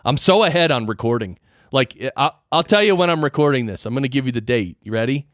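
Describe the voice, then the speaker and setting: A man chats as a podcast host in a very dry, close recording. His pitch sits in the middle for a male voice. He explains things informally and often turns a point into a question for his guest. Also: expressive, quick pace, a bit bright, no echo. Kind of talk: casual interview chat about audio gear and production.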